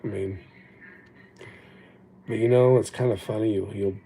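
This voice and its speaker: A man's voice: fairly low pitch, steady tone, measured pace, creaky, mellow, a little hiss or hum. A man whose first language was Japanese, chatting casually and reflectively on his livestream, rambling and poking fun at himself, recorded on an old phone. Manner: rambling, reflective, self-deprecating. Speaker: livestream host.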